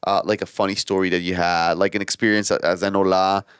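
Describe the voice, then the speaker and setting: A comedian from Israel hosting a casual podcast chat, animated and quick to laugh. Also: male voice, low-pitched, clear, resonant tone, dry recording, clean studio sound. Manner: animated, laughs often, self-deprecating jokes. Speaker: podcast host; stand-up comedian.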